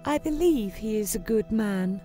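A woman's voice: mid-range voice, animated, moderate pace, mellow, lightly acted. A woman playing an earnest, determined young noblewoman with dramatic delivery in a story drama. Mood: earnest, resolute. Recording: music under the voice.

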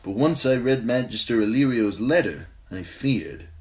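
A man reading an audiobook, voicing a grim, loyal knight who speaks in a measured, terse way. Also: medium pitch, animated, pitched above the performer's own voice, natural voice.

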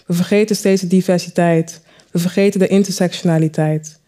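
A woman storyteller performing a spoken word piece, with deliberate, dramatic phrasing and rhetorical questions. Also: on the low side, steady tone, warm tone. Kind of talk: spoken word recitation, poetic monologue.

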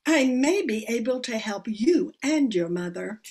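A woman's voice: fairly high pitch, lively intonation, measured pace, a little mellow, husky, exaggerated voice. An older woman playing a meddling, proper old aunt with an earnest, moralizing manner in an amateur radio play. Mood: earnest, pleading. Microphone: computer on video call.

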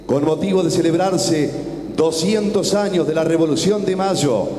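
A man's voice: on the high side, normal speed, a little mellow. A man formally announcing a national broadcast, stately and ceremonious as he reads out titles and names. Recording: echoey hall with audience noise.

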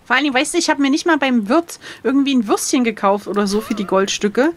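Playful woman with a fairly high voice. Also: quick pace, slight background noise. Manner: playful, jokey, theatrical reactions. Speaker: player of a gamebook adventure. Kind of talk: casual chat.

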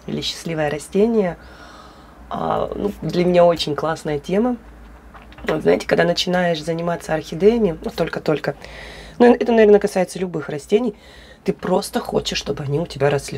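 A woman with a hoarse voice, chatting casually and animatedly in a hobby plant vlog, with laughs and fillers. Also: low-pitched, darkish tone, a little hiss or hum. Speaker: orchid grower.

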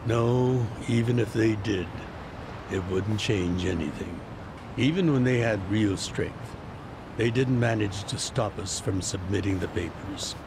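An actor playing an older retired yakuza boss, weary and fatherly, in a slow, measured, reflective manner. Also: fairly low pitch, gravelly, noisy background. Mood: calm, reflective. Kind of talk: video game cutscene dub.

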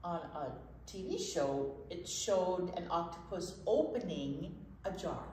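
Older woman, lowish voice, very lively intonation, children's storytime read-aloud, emphatic, slightly echoey room. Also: takes it slowly, slight background noise. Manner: expressive, emphatic, repeats key words for children.

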